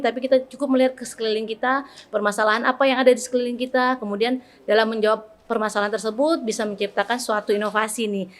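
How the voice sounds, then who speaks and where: A woman hosting a podcast, chatting casually with guests and encouraging listeners in a friendly, filler-rich way. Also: a little high. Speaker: podcast host. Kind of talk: casual interview chat.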